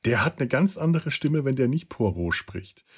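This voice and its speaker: A man's voice: mid-range voice, very animated, normal speed, breathy edge. A man chatting casually as a podcast co-host, often hesitating and searching for words. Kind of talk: casual chat about film dubbing.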